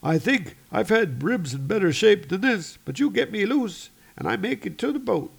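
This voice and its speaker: A man's voice: sits high, expressive, normal speed, gravelly, breathy edge, pitched above the performer's own voice, exaggerated voice. A man voicing an injured but plucky oil rig worker from a comic book, with strained, colloquial short phrases.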